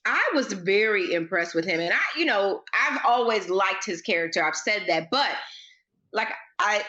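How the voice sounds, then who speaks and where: A woman's voice: medium pitch, lively intonation, moderate pace, sharp tone. An American woman co-hosting a casual TV recap, speaking in an emphatic, opinionated way. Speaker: podcast co-host. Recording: slightly dull, lo-fi sound.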